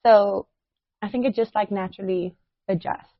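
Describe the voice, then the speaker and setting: A woman's voice: medium pitch, fairly even intonation, dry recording, muffled recording. Chatty South African woman in her thirties. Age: 30s. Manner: chatty, laughs often, quick asides. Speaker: podcast co-host. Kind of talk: casual chat.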